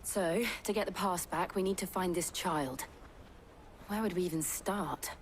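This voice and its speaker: An actress playing a gentle, practical young adventuress in a video game, polite and level-headed. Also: female voice, lowish voice, a little slow, a little hiss or hum, lightly acted.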